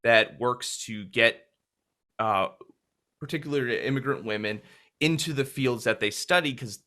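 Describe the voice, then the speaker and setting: A man hosting a casual interview, asking questions with a hesitant manner and many pauses and restarts. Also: mid-range voice, pushed and bright. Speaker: interview host.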